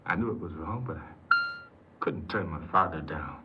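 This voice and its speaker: An actor playing a desperate, earnest ex-convict in a 1950s American radio crime drama, pleading and hesitant, often repeating himself. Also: male voice, low voice, expressive, moderate pace. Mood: subdued confessing. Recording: muffled, hissy 1950s radio recording.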